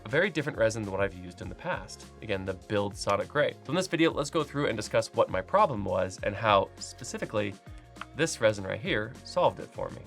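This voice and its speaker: A man's voice: lowish voice, animated, brisk, gravelly, fairly bright tone, soft background music. A man hosting a casual product review while congested with a cold, his voice nasal, chatty and loose with fillers and restarts. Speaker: 3D printing channel host.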